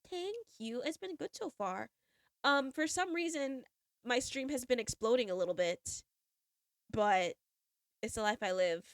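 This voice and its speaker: A woman's voice: fairly high pitch, a bit bright, dry recording, clean studio sound. A young woman streamer with a stuffy cold nose, bubbly and giggly as she chats and reads a game story aloud. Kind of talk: casual chat and reading a visual novel aloud.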